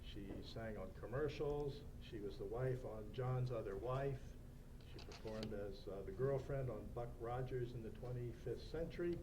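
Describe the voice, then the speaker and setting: A man speaks as the moderator of an informal meeting, some way from the microphone. His delivery is halting and hesitant, with clear background noise around a mid-pitched voice. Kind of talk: informal spoken introduction of a guest. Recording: distant mic in noisy meeting room.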